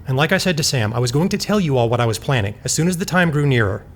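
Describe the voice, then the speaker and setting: A man's voice: medium pitch, animated, racing, a little hiss or hum, lightly acted. An actor playing a defensive, evasive man justifying his secrets in an audio drama.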